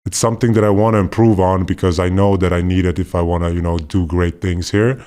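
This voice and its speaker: A man from Poland co-hosting a casual podcast, talking in a relaxed, rambling and enthusiastic way. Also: deep voice, quick pace. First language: not Polish; left Poland at five. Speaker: podcast co-host, former basketball player.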